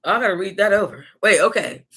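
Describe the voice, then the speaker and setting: Sassy American female book club reader, mid-pitched and very bright, casual and reactive. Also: a little fast, breathy edge. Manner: sassy, animated reactions, breaking into laughter and asides. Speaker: book club host reading aloud. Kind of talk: reading a tell-all book aloud with casual, reactive asides.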